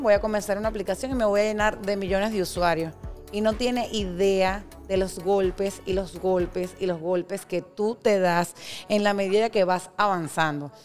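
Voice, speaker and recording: A woman being interviewed as an entrepreneur guest, speaking in a frank, emphatic and expressive way. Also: medium pitch. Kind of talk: interview conversation.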